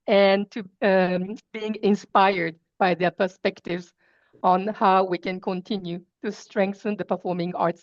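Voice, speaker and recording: Woman, formal opening speech, video call, measured, muffled, dry. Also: mid-range voice, no room sound. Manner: measured, formal, occasional filler. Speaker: UNESCO representative giving opening remarks.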